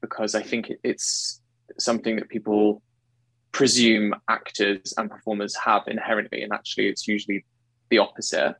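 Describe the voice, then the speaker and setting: A man in his thirties from England with a baritone voice, a guest talking earnestly about his training, often correcting himself mid-sentence. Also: level tone, moderate pace, gravelly, a bit bright, husky, dry recording, low-quality recording. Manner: earnest, self-correcting, effusive. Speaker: guest, actor and singer. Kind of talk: conversational interview.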